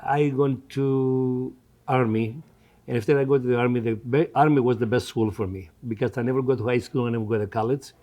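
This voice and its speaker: Greek guy in his 70s, mellow warm voice, non-native english, repeats himself, chatting in an interview like a restaurant owner. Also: medium pitch, fairly even intonation, a little fast. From Greece. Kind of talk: conversational interview.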